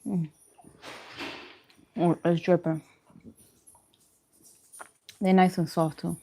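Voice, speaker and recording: A woman's voice: lowish voice, flat delivery, drawn-out words, dark tone. A woman hosting a mukbang, chatting casually to viewers between bites with murmured reactions. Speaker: mukbang host. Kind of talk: casual eating chat to viewers.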